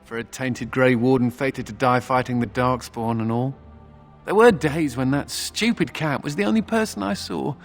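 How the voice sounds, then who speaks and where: An actor playing a wry, flippant runaway mage in a video game, with a sardonic, conversational manner. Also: male voice, medium pitch, moderate pace, gravelly, faint music behind, close to an everyday voice. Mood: wry, joking. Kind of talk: video game character acting.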